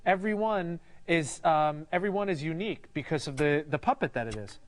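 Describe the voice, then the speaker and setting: A man works as co-host on a live shopping broadcast. He delivers a sales pitch straight to the viewers and chats with his co-presenter and callers. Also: expressive, brisk, heavy background noise.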